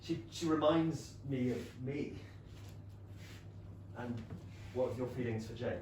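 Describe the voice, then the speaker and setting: A man acting a proud, wounded period gentleman in a staged reading, speaking formally and eloquently of hidden love. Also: medium pitch, lightly acted. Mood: candid, restrained. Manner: formal, measured, eloquent theatrical delivery. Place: small London theatre stage, filmed. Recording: echoey theatre, distant mic, noisy room tone.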